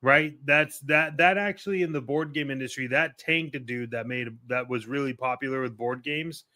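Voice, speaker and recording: A man's voice: mid-range voice, moderate pace, bright, forceful tone. A man co-hosting a casual podcast, talking in a blunt, informal and sweary way. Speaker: podcast co-host.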